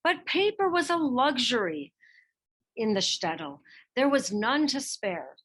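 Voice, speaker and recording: Slow, lively high-voiced woman reading a storybook. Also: breathy edge. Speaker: reader of a children's picture book biography. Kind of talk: expressive scripted storybook narration.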